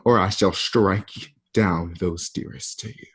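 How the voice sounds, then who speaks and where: A man acting a cruel, coldly threatening Roman emperor in a radio play, slow and theatrical with pauses. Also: low-pitched, steady tone, husky. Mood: quiet menace. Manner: slow, theatrical, pausing between phrases.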